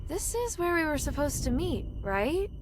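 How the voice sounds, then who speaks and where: A woman's voice: high-pitched, sing-song, moderate pace, very noisy. An actress playing a curious, earnest young heroine in a fantasy video game, with music behind her. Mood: wondering, puzzled. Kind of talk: scripted video game acting.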